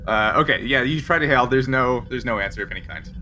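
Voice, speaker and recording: Bright-voiced man running a tabletop game. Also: medium pitch, animated, fast talker. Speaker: game master. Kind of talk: casual narration of a tabletop role-playing game. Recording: faint background noise.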